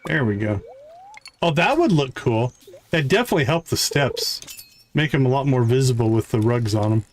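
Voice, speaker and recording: A man's voice: medium pitch, expressive, normal speed, a little hiss or hum. A man casually commentating on a game he is playing live while chatting with his viewers.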